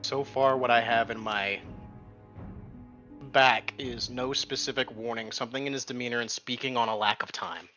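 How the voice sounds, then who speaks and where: A man's voice: medium pitch, steady tone, normal speed, bright, forceful tone, dull audio, soft background music. A man chatting casually as a player in an online tabletop game, with a dry, joking manner. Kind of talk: casual group chat during an online game.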